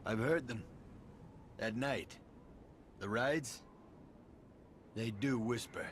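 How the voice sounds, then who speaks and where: A man's voice: medium pitch, slow pace, lightly acted. A man playing a bitter, sardonic estranged son of a tycoon in a video game cutscene, speaking with dry weariness. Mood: bitter, haunted. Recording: noisy game capture.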